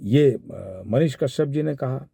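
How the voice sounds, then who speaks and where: A man with a Bihari accent speaks in a very dark, soft-edged voice, recorded close and dry. He explains things informally as a commentator, in a measured and halting manner. His pitch sits in the middle range for a man. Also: animated, vocal fry, husky, no echo. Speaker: commentator giving his analysis of a viral call.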